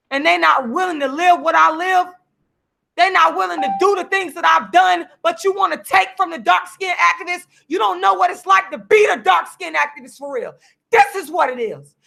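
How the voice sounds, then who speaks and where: A hoarse woman in her forties rants at the camera, her high voice thick with gravel and fry. Her tone is bright and sharp, and she hammers the same points again and again, climbing into shouts. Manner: heated, repetitive, preachy, now and then shouting. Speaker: vlogger and activist. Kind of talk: impassioned direct-to-camera rant. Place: seated at home signing books.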